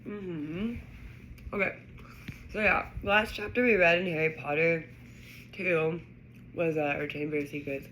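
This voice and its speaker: A woman's voice: low-pitched, fairly even intonation, unhurried, long pauses, a bit bright, a little hiss or hum, poor audio. A woman reading to a child, talking casually with chatty, informal asides. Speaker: storyteller reading a children's book aloud to a child. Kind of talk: casual chat and read-aloud narration.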